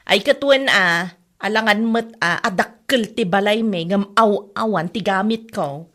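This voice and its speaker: A woman's voice: medium pitch, measured pace, fairly bright tone, natural voice. A woman with an Ilocano accent playing a fretful, nagging wife in a comedy radio drama. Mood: complaining.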